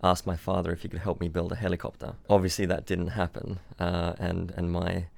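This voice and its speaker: Low flat gravelly voice, young guy from switzerland raised in australia, keeps restarting lines. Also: a little fast. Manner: repeats words, restarts often. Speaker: startup founder, podcast guest. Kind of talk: casual interview chat. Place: London.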